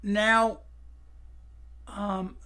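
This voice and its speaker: A man talking casually and candidly, in a halting way that often repeats phrases. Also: sits high, little pitch movement, bright, forceful tone. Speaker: blind interview subject in an audio documentary. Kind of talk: casual candid talk and reminiscence.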